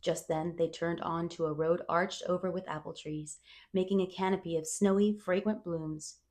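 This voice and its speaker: A woman reading a children's storybook aloud as scripted narration, expressive and clearly phrased. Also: lowish voice, moderate pace. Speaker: storyteller, reader.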